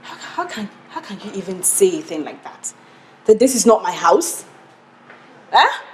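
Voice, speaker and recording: A woman's voice: medium pitch, very animated, normal speed, slight background noise, close to an everyday voice. A woman with a Nigerian accent playing a distressed but defiant wife in a melodramatic film, emphatic and repeating herself. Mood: indignant, hurt. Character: defiant, distressed wife standing up to her husband.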